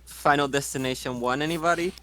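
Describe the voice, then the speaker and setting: A man's voice: on the high side, fairly even intonation, quick pace, fairly bright tone. A man playing a tabletop game, chatting casually with lots of swearing and wisecracks. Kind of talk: casual profane game chat.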